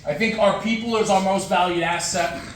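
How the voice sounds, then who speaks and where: A man's fairly high voice rings around a very echoey room, picked up well away from the microphone. He delivers a motivational talk emphatically, hammering short phrases and repeating them for weight. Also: fairly even intonation, moderate pace, fairly bright tone. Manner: emphatic, punchy short phrases with rhetorical questions and repetition. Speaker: guest speaker, firefighter. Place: echoey indoor room, speaking to an audience. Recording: echoey room, distant mic, faint noise.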